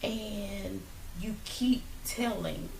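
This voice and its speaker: A woman's voice: mid-range voice, extremely slow, creaky, a little hiss or hum. A middle-aged woman school counselor talking expressively as a guest in a small studio. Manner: lively, expressive. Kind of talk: casual talk show chat. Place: small studio lounge, indoors. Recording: roomy, a bit distant.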